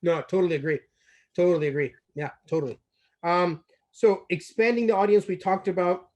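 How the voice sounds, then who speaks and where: A man's voice: sits high, fairly even intonation, fairly quick, darkish tone. A middle-aged man from Turkey, a company CEO in a casual business discussion, talking enthusiastically and repeating words as he thinks.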